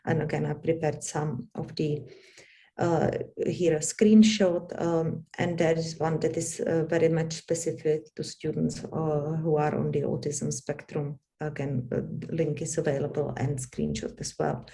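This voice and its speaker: A woman with a Czech accent giving a panel presentation, halting often with fillers and restarts. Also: deep voice, little pitch movement, warm tone. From Czech Republic. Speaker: academic panelist.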